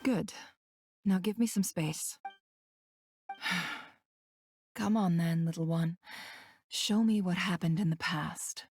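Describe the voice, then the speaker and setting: Lowish dry game seer lady, composed, teasing, unflinching. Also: takes it slowly, pauses often, a little mellow. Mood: focused. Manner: wry, composed. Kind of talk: video game voice acting.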